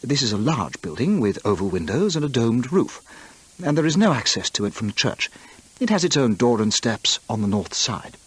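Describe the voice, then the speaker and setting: A bookish travel writer in a radio play. He is genteel and precise, musing over his discoveries in a very breathy, rough-edged voice. His pitch moves about in an animated way. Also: moderate pace, dry recording. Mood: chatty, enthusiastic. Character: inquisitive English travel writer and amateur antiquarian, bookish, chatty. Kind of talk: radio drama acting and narrated journal.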